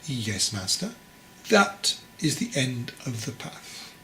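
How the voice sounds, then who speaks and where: A man's voice: medium pitch, sing-song, slow, pushed and bright, roomy sound, full character voice. Guy doing a timid young monk hopeful, apologetic and earnest, storybook reading, some background noise. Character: fragile, anxious young male would-be monk, deferential. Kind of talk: storybook character dialogue.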